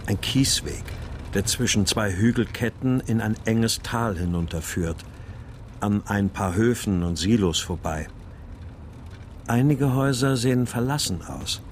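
An actor playing a brooding father who narrates in the first person, sober and measured. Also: lowish voice, slight background noise.

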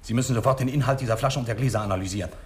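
An actor playing an edgy, irritable doctor in a 1960s radio crime drama. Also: male voice, mid-range voice, rapid-fire, natural voice. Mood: alarmed, urgent. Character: fussy, nervous, ailing doctor without a practice. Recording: faint room noise, old radio recording.

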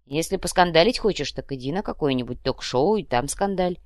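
A woman's voice: deep voice, lively intonation, a little fast, no room sound. A woman voicing a shrewd, money-minded male trader with a dry, teasing manner in an audiobook. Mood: calm, wry. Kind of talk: audiobook character voice.

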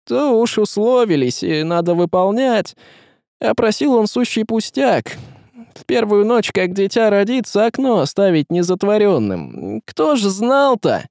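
A man's voice: high-pitched, big pitch swings, measured pace, vocal fry, a little mellow, no room sound, clean studio sound, voice pushed up, full character voice. A man voicing a guilt-ridden, downcast tsar in a storybook, confessing apologetically. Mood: guilty, pleading. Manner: apologetic, crestfallen.

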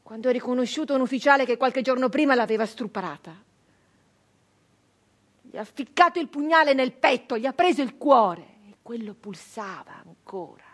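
An actress playing a fierce, embittered nineteenth-century brigand woman in an intense, declamatory theatrical monologue with dramatic pauses. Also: on the high side, lively intonation. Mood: grim. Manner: intense, declamatory, with slow pauses and hushed drops. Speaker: stage actress.

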